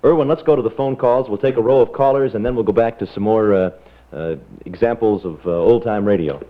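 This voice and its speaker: A man's voice: lowish voice, fairly quick. A man hosting a live radio call-in talk show in an informal way. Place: radio studio. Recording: dull archival radio broadcast.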